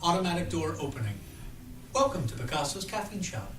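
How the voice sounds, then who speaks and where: A man's voice: fairly high pitch, lively intonation, brisk. A man reading stage directions as scripted narration at a table read of an audio drama. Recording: roomy, distant, music underneath.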